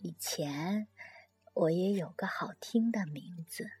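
A woman voicing a very old male golden retriever in a storybook, sly but resigned, with a breathy, whispery, slow and wistful delivery. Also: fairly low pitch, warm tone, faint music behind, pitched below the performer's own voice, exaggerated voice. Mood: resigned sadness.

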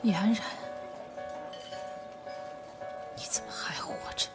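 A woman's voice: fast talker, whispered, close to an everyday voice. A woman playing a cool, composed lover in a radio drama, with a restrained, firm manner. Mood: cold, curt. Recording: loud music behind the voice.

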